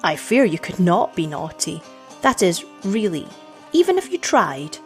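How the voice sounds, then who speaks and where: A woman's voice: mid-range voice, very animated, moderate pace, faint music behind, pitched above the performer's own voice. A woman voicing a scornful village girl, prim and offhand, in a storybook. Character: scornful village girl, cool, teasing, unconcerned.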